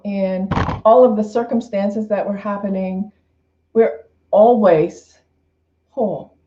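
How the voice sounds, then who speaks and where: A woman minister giving an informal, unscripted spiritual talk in a reflective, conversational way, often repeating and rephrasing her phrases. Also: a little high. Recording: roomy, slightly dull lo-fi sound.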